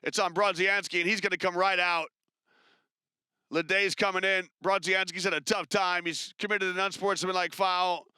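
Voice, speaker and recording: Bright, crisp male basketball commentator. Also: high-pitched, no echo. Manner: brisk, chatty, rises with excitement on big plays. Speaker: play-by-play commentator.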